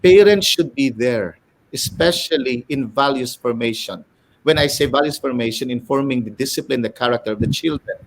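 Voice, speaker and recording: A middle-aged man with a Filipino accent talks like a teacher giving a lecture. He explains each point in a measured way and moves between English and Filipino. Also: medium pitch, low-quality recording. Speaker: educator guest. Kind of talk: interview answers, explanatory.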